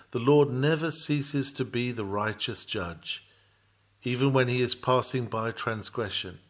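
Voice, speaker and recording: A man reads a scripted sermon in a measured, deliberate manner, with formal oratory. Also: medium pitch. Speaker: reader of a historical sermon.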